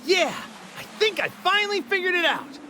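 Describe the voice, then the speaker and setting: A man's voice: very high voice, expressive, moderate pace, a little hiss or hum, full character voice. A man voicing a cheerful, naive martial-arts hero in a video game, simple, eager and boyish. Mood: upbeat. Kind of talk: English game dub acting. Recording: loud music behind the voice. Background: loud game music.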